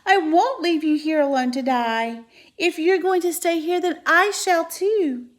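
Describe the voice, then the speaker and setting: A woman's voice: a little high, fairly even intonation, moderate pace, darkish tone, close to an everyday voice. A woman voicing a young male pig who pleads and cries out in desperation. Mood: frantic.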